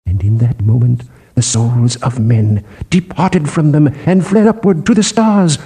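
A man's voice: medium pitch, unhurried, warm tone, husky. A man voicing a fervent apostle who declaims doomsday scripture in archaic, sing-song tones for a storybook. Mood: solemn, ominous.

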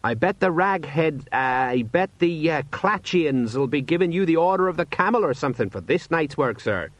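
A man's voice: a little high, lively intonation, moderate pace, no room sound, voice pushed up. A man voicing an eager, deferential male sergeant in a storybook, chatty and colloquial, easily puffed with pride. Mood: helpful. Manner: colloquial, helpful, fawning. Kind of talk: audiobook character voice.

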